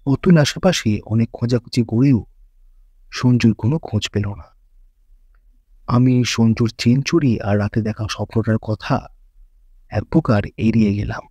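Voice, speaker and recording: A man's voice: fairly low pitch, normal speed, long pauses, warm tone, breathy edge, no echo. A man reading a horror story as dramatic scripted narration.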